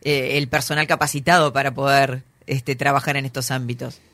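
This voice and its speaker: A man's voice: medium pitch, flat delivery, brisk, sharp tone, dry recording. A man hosting an interview, giving short agreeing replies and wrapping up. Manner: brief agreeing backchannel. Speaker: radio interviewer. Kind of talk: interview, host wrap-up.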